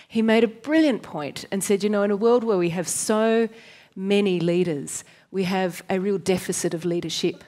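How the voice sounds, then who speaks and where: A woman moderating a panel discussion on an auditorium stage, guiding the talk smoothly. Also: mid-range voice, steady tone, normal speed, mellow.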